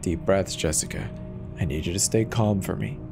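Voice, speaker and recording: A man playing a steady, reassuring emergency dispatcher in a horror audio drama, urging the caller along. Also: low voice, moderate pace, creaky, over music. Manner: calm, reassuring, soft-spoken urging.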